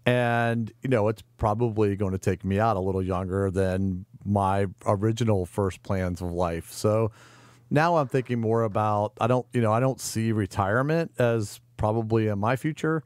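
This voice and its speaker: A man being interviewed as a restaurateur guest, talking casually in halting phrases with repeated words. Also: lowish voice, a little mellow, no room sound, clean studio sound.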